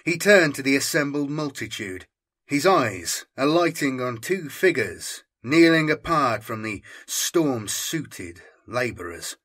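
Dramatic guy reading a grim sci-fi audiobook, slow, husky, ominous delivery. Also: mid-range voice, sing-song, a bit bright. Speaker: audiobook narrator. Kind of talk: dramatic scripted narration of dark science fiction.

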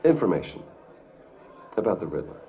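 A man acting a brooding billionaire vigilante in a video game, terse, controlled and intense, with a deep gravelly voice. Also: very animated, normal speed, dark tone, faint music behind. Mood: firm, pressing. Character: brooding adult male billionaire vigilante, guarded and commanding.

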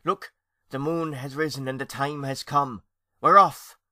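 A man's voice: medium pitch, steady tone, moderate pace, a bit bright, no echo, clean studio sound, voice pushed up, natural voice. A man voicing a fretful, bossy lady ghost aunt from Ireland in a children's storybook, with dramatic wailing and scolding. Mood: commanding.

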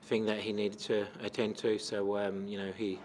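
A middle-aged man with an Australian accent, a football coach answering questions at a post-match press conference into a table microphone, measured and full of fillers. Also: fairly low pitch, completely flat delivery, a little mellow, slight background noise, rough recording. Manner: measured, many fillers and restarts. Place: press conference room with sponsor backdrop.

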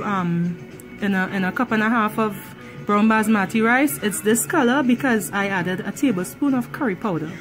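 Trinidadian woman, cooking vlog host, casual and rambling, laughs easily, mid-pitched voice, music underneath. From Trinidad and Tobago. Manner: chatty, rambling, laughs often, many ums. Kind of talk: casual cooking tutorial voice-over.

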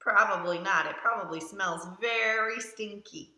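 A woman reading a children's picture book aloud in an expressive, sing-song way, asking young listeners simple questions. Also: mid-range voice, unhurried. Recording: roomy echo around the voice.

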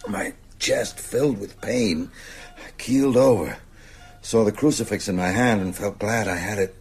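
An actor playing an ailing older teacher in a radio drama, very husky, precise, bookish and resolute. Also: male voice, fairly low pitch, normal speed, darkish tone. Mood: shaken. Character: ailing older male teacher, scholarly, shaken but resolute.

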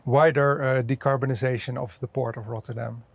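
A man's voice: mid-range voice, steady tone, brisk, creaky, breathy edge, dry recording. A man in his thirties interviewing guests outdoors, friendly and questioning, on a lapel mic. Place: outdoors at an industrial port site.

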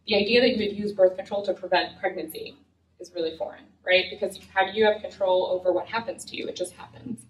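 A woman's voice: medium pitch, fairly even intonation, quick pace, dull audio. A young adult woman giving a conversational, earnest lecture as a physician, speaking with many fillers. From United States. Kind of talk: academic lecture. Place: lecture room. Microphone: far room mic. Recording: echoey lecture room from far off.

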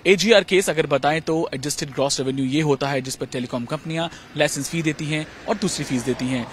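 A young man reporting outdoors in a city with a handheld mic, explaining the story in a slightly halting way. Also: a little high. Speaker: field reporter. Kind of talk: news report. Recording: faint street noise. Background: traffic and city ambience.

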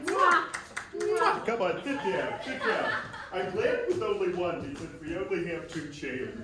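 A woman's voice: mid-range voice, big pitch swings, measured pace, a bit bright, a faint crowd, a little hiss or hum. A woman playing a boastful, self-important celebrity love-advice hostess on a small theater stage, with showbiz patter and giggles. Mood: grandiose. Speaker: comedy character performer. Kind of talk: improvised stage comedy. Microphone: far room camera. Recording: echoey theater from far off.

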